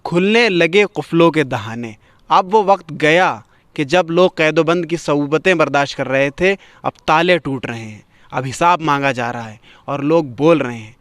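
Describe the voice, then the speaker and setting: A man's voice: on the high side, normal speed, a bit bright, dry recording. A man hosting a poetry gathering, giving literary commentary between recitations.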